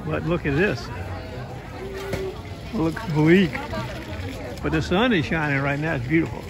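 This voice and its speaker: A man's voice: fairly high pitch, a little slow, creaky, crowd noise. An older man narrating a casual home vlog, rambling and folksy, filming with a phone around his house and yard. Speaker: knife sharpener vlogger.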